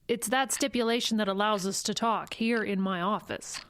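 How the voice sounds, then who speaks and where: A woman acting in an audio drama as a formal, patient psychologist with a measured manner. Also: medium pitch, a bit bright, smooth, dry recording, close to an everyday voice. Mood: measured, firm. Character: composed, formal female court psychologist.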